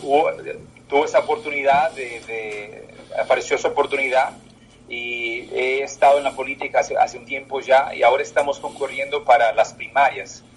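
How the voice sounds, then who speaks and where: A man in his twenties whose first language is Portuguese, answering interview questions in halting speech with many pauses. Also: medium pitch, breathy edge, poor audio. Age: 20s. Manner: halting, with many filler sounds. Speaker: political candidate. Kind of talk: interview by phone.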